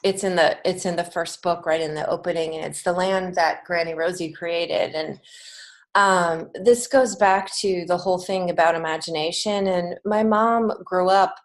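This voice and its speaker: Woman, American, slightly low voice; earnest podcast guest who thinks aloud in halting, conversational phrases. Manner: earnest, reflective, halting with many false starts. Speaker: podcast guest, children's author and creative writing teacher. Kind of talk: casual interview conversation.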